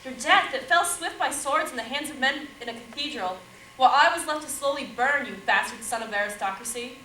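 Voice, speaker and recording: An actress playing a fierce, foul-mouthed French warrior maid, defiant and fiery, in a stage play recorded in an echoey room from a distance. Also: female voice, high-pitched, brisk, pushed and bright, slight background noise.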